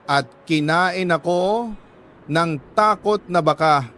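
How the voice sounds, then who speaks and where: A Filipino man narrating a confessional love story in an emotive, deliberate way, with dramatic pauses. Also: high-pitched, sharp tone. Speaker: radio host and storyteller reading a listener's letter.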